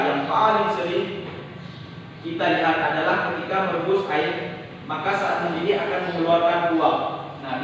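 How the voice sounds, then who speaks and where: A young man from Indonesia teaching a class, explaining slowly and pausing often on "nah". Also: fairly high pitch, fairly bright tone, a little hiss or hum. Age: young adult. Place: classroom indoors. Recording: echoey roomy classroom, distant mic, dull.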